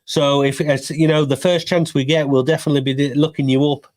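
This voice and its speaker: A man's voice: on the high side, flat delivery, fairly quick, darkish tone. A man with a British accent, co-hosting a casual interview and chatting in a friendly, rambling way with lots of fillers. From England. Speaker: podcast co-host.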